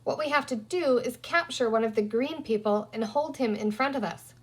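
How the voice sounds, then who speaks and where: A woman's voice: a little high, normal speed, husky. A woman voicing a bossy, resourceful young girl in a children's story, talking emphatically as she reasons aloud. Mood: scheming. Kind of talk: children's storybook character reading.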